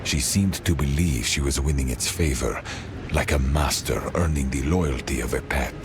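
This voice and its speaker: An actor playing a weary, haunted inventor in a fantasy game, speaking in a grave, measured way. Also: male voice, extremely low pitch, music in the background. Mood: weary, bitter. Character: weary, haunted older male inventor serving a cruel queen. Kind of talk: scripted fantasy game dialogue.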